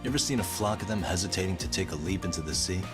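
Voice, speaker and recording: An actor playing a calm, wry private detective in his thirties, explaining things in a measured, casual way in a game drama dub. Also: low voice, over music. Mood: composed, explaining.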